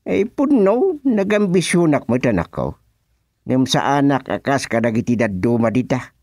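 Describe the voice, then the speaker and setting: An actor playing an ambitious village man running for office, animated and boastful in a comedic radio drama. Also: mid-range voice, moderate pace, dry recording, clean studio sound.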